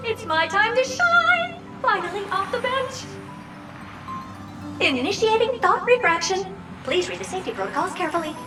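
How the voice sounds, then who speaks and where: A squeaky, bouncy show-off. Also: female voice, sing-song, normal speed, long pauses. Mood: playful. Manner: teasing, theatrical, jokes then announces. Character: playful, showy young woman launching the team. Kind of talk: game voice acting. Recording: echoey and from far off. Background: game music.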